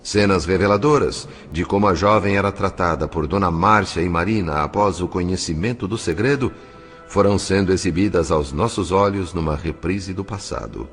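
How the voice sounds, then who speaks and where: A man narrates a dramatic story from a script. His voice is very low, with a Brazilian accent, and music plays behind it. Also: moderate pace. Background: background music.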